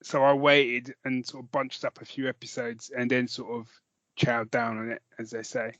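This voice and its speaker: A man's voice: mid-range voice, flat delivery, normal speed, fairly bright tone, dry recording, dull audio. A man, co-hosting a podcast in casual, jokey, rambling chat with some swearing. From London, England. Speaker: podcast co-host.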